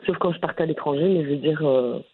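A woman from Brussels with a Brussels French accent, answering interview questions in an animated, frank way with frequent restarts. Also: deep voice, quick pace, slightly rough, no room sound. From Brussels, Belgium. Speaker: content creator guest. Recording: phone-line audio.

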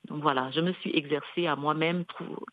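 Woman singer as radio guest, first language Bassa, thoughtful and halting, dry slightly dull sound. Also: fairly even intonation, no room sound. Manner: reflective, hesitant, many fillers and restarts. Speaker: singer and music school founder, radio guest. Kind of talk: radio interview conversation.

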